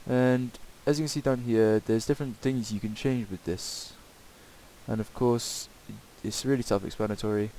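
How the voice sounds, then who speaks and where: A man giving a casual, improvised software tutorial in a hesitant, rambling way. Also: medium pitch, fairly even intonation, normal speed, low-quality recording. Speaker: tutorial presenter. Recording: hissy home microphone recording.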